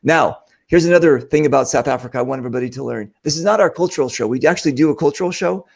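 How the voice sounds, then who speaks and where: A man's voice: mid-range voice, very fast, vocal fry. A man hosting a casual podcast chat, rambling through questions and often restarting his sentences. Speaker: podcast host.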